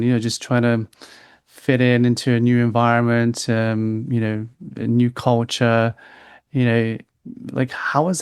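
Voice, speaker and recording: A man from the United Kingdom hosting a podcast, asking long, halting questions full of restarts. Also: mid-range voice, darkish tone, clean tone, no echo, studio quality. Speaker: podcast host. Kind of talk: interview questions.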